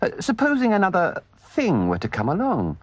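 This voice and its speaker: A man's voice: medium pitch, huge pitch swings, a little slow, vocal fry, slightly rough, no echo, exaggerated voice. A man doing a timid, fretful mole. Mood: anxious. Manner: anxious, fretful. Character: timid, anxious male mole. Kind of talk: storybook reading.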